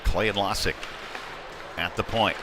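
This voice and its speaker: A man's voice: on the low side, steady tone, a little slow, a crowd in the background. A man doing live play-by-play commentary of a youth hockey game in a conversational, somewhat hesitant way. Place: indoor ice hockey rink. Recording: noisy ice rink ambience. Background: clear rink noise and ambience.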